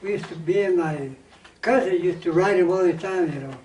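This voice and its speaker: High-pitched older man, echoey and distant. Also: a little fast, a little mellow, slight background noise. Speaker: oral history interviewee. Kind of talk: casual reminiscence. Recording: echoey room, distant microphone.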